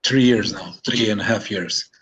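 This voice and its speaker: A young man with a Serbian accent introduces himself on a video call. His voice is a bit husky, and he speaks hesitantly with frequent pauses and fillers. The webcam audio is plain. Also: lowish voice, a bit bright. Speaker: student.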